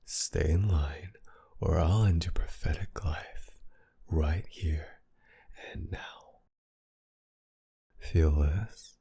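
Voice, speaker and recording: A man playing a mocking, menacing masked villain kidnapper in an intimate ASMR roleplay, with a gravelly, breathy murmur that often sinks toward a whisper. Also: deep voice, warm tone, full character voice. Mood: hushed, threatening. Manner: taunting, commanding, slow and teasing.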